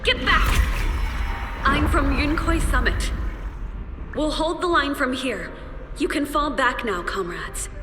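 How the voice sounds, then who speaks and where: A woman's voice: high-pitched, animated, takes it slowly, few pauses. A woman voicing a resolute warrior shielding comrades in a video game, speaking in firm declarations. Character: resolute female martial reinforcement shielding exhausted comrades. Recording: echoey with long reverb, music behind.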